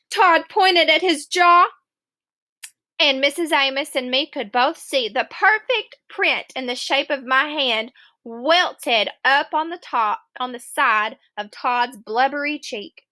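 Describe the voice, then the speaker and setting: A woman reading a children's novel aloud as an expressive storybook narrator. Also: high-pitched, takes it slowly.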